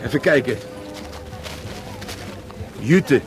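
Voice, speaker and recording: An actor the playing a flippant, easygoing police sergeant undercover as a market trader in a radio drama. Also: male voice, medium pitch, lively intonation, drawn-out words, music in the background. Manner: flippant, wry.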